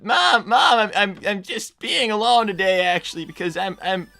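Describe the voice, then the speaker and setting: Someone playing a stammering, creaky-voiced teenage fox boy. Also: mid-range voice, expressive, moderate pace, pushed and bright. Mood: weary, flustered. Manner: hesitant, stammering. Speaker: live stream host reading donations. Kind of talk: improvised in-character livestream.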